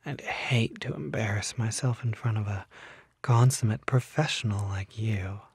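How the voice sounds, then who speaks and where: A man voicing a charming, unsettling kelpie in an ASMR roleplay, soft and often whispering, coaxing and flattering with teasing false modesty, close to the microphone. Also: fairly low pitch, normal speed, husky, no echo. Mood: flattering, eager. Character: charming, unsettling male kelpie, smooth-talking seductive lurer. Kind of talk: ASMR roleplay, intimate one-sided acted dialogue.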